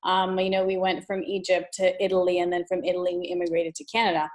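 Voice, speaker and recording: A woman's voice: lowish voice, monotone, quick pace. A woman born in Ethiopia, interviewing a guest with long, conversational questions.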